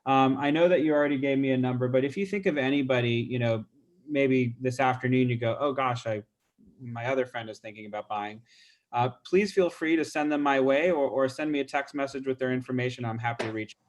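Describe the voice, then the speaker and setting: A man with a stuffy nose talks through a real estate call script over a video call. His pitch is mid-range, and his delivery is chatty and informal, full of ums and restarts. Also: fairly quick. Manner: casual, chatty, many fillers. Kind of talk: role-play training demo.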